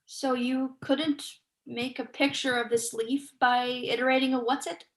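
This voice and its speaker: A young woman playing a brisk, probing, sceptical writer in a staged play reading. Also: high-pitched, little pitch movement. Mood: exasperated. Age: young adult.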